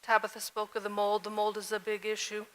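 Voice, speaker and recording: A woman's voice: mid-range voice, monotone, fairly quick. A woman giving an earnest conference panel talk, with some fillers. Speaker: panel speaker.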